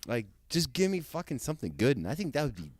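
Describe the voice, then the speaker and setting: A man's voice: mid-range voice, big pitch swings, fast talker, slightly rough, no echo, clean studio sound. A man in his forties co-hosting a podcast, swearing freely and talking enthusiastically, often trailing into fillers. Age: 40s. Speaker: podcast co-host. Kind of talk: casual chat.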